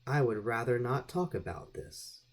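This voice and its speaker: A man's voice: mid-range voice, animated, measured pace. Young man in a trance acted by a man, subdued, drowsy and terse, mid-range voice, audiobook story.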